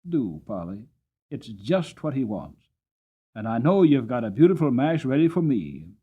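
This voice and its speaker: A man's voice: mid-range voice, sing-song, normal speed, slightly rough, narrow, tinny audio. A man voicing a kindly, cheerful Victorian cabman and father in a storybook, hearty and affectionate. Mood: pleased, affectionate.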